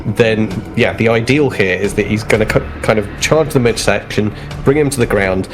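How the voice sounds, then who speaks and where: A man's voice: fairly low pitch, moderate pace, music in the background. A man playing a tabletop role-playing game, casually talking through his character's moves. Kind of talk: casual chat, describing own character's moves.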